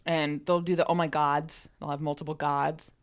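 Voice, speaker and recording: A woman's voice: low-pitched, flat delivery, moderate pace. A woman co-hosting a podcast in casual conversation, talking in an enthusiastic, emphatic way. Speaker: podcast co-host and co-writer.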